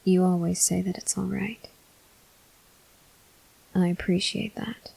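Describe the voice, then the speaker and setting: A woman playing a brooding, guilt-ridden male vampire officer in an ASMR roleplay, whispering breathily in slow, halting, formal phrases. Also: on the low side, little pitch movement, vocal fry, mellow, husky, full character voice, rough recording. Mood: weary, grateful.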